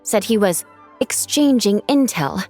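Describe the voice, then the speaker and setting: A woman's voice: medium pitch, animated, a little slow, darkish tone, soft background music, lightly acted. A woman playing a self-assured young knight in an anime game dub, with a dry, knowing manner. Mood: wry.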